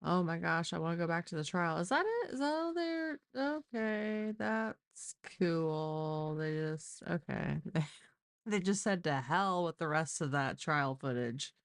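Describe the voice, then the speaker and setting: A woman's voice: on the low side, lively intonation, unhurried, smooth, dry recording, studio quality. A woman hosting a live stream, chatting informally and giving opinionated true crime commentary.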